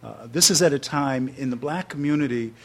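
A man in his sixties from New York City, telling stories as an interview guest before an audience, animated and laughing often, with slower reflective passages. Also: mid-range voice, vocal fry. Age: 60s.

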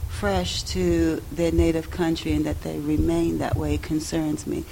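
A woman with a somewhat low, mellow voice puts a long question to the speaker from the audience. She rambles and repeats herself as she searches for the right words, with a faint background hum. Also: level tone, measured pace, vocal fry, rough recording. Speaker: audience member asking a question.

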